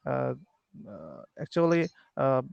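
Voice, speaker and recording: Bangladeshi man, English learner on a video call, gravelly yet smooth, dry sound, hesitant and full of fillers. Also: medium pitch, big pitch swings, darkish tone, dry recording, dull audio. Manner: halting, many fillers and restarts. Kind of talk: online English lesson conversation.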